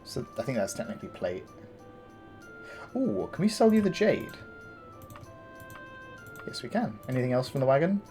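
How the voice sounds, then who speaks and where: A man with a gravelly morning voice, casually commenting on his game as he plays, thinking aloud with fillers and restarts. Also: medium pitch, very animated, normal speed, pauses often, warm tone, soft background music. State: tired morning voice. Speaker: gameplay commentator. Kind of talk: casual let's-play commentary.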